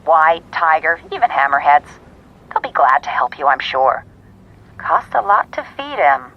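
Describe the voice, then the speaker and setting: Low-voiced receptionist on the phone. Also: female voice, sing-song, measured pace, full character voice. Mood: chirpy, helpful. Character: chatty, helpful American female aquarium receptionist. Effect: telephone filter. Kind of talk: radio drama acting. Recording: band-limited telephone line.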